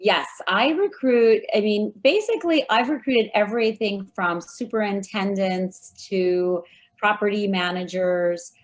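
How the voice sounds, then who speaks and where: A woman giving friendly, measured answers as a guest in an interview. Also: mid-range voice. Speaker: guest, recruiting firm founder.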